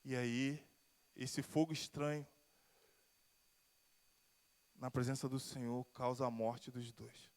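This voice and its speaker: A man's voice: mid-range voice, steady tone, a little slow, a little mellow, long reverb tail. A pastor from Rio de Janeiro with a Carioca accent, preaching and telling his own testimony, his voice swelling and falling and drawing out key words. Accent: Carioca Brazilian Portuguese. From Rio de Janeiro, Brazil. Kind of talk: sermon with personal testimony. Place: church sanctuary.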